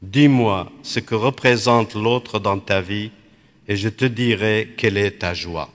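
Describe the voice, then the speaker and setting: Slow, reflective priest in a hall. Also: male voice, on the low side, fairly bright tone. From Iraq. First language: Aramaic. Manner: measured, contemplative, phrase by phrase. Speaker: Dominican priest. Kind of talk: spiritual reflection.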